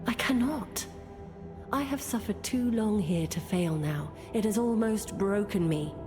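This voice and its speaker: An actress playing a resolute, long-suffering woman with a grim secret in a video game, speaking in a solemn, cryptic, measured way. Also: mid-range voice, over music, natural voice. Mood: weary, resolute.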